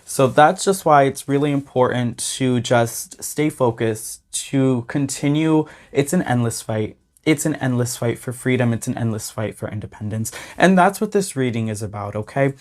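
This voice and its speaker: A man with a slightly hoarse voice hosting a tarot reading channel, talking casually and earnestly to his viewers. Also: medium pitch, moderate pace, hardly any pauses, darkish tone. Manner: earnest, often adds "okay?" and "you guys". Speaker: tarot reader and channel host. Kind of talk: casual talk to camera.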